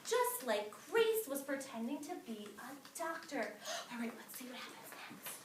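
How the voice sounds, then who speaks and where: Very animated, high-pitched woman reading to children. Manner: expressive, emphatic, pausing to instruct the class. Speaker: teacher reading a picture book aloud to young children. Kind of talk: animated classroom read-aloud. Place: echoey indoor classroom. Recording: echoey room, distant mic, noisy, clicks.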